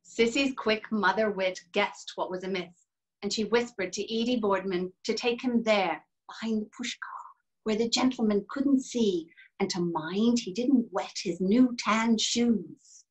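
Actress reading a brisk young Irish girl, lively, scolding. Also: mid-range voice, lightly acted, low-quality recording. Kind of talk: dramatic reading of a novel. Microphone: video call.

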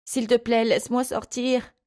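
Bratty little boy for an audiobook, played by a woman: high-pitched, whiny, defiant, loud. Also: level tone, moderate pace, natural voice. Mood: terrified, pleading. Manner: shouting and whining. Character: defiant bratty young boy, wailing when scared.

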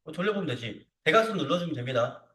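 Male CAD tutor, lively swinging intonation and fairly high voice; informal, checks in often. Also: fast. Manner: informal, frequently seeks confirmation with short tag questions. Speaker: CAD instructor. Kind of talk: hands-on software tutorial to students. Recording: roomy echo, distant mic.

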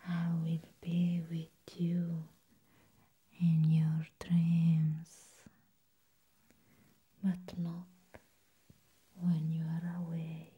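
Woman acting a slow whispering vampire nurse. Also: on the low side, monotone, dull audio, full character voice. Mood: menacing, hypnotic. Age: young adult. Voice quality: breathy whisper.

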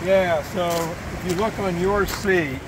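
A man's voice: high voice, steady tone, takes it slowly, noisy background. A man in his fifties narrating a casual outdoor travel vlog into a handheld action camera, easygoing and chuckling often. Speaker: travel vlogger. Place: outdoors on a glacier hike and campground.

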